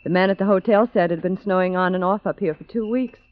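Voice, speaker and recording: A woman's voice: mid-range voice, quick pace, narrow, tinny audio, natural voice. An actress playing a sensible, bossy, bookish young American woman in old-time radio drama style, matter-of-fact and scolding, on a thin vintage recording. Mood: brisk, scolding. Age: young adult.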